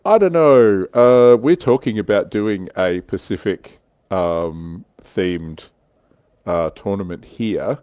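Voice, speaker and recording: A man's voice: lowish voice, animated, takes it slowly, no echo, highs cut off. A man hosting a livestream, chatting casually and reading out viewer comments. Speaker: livestream host.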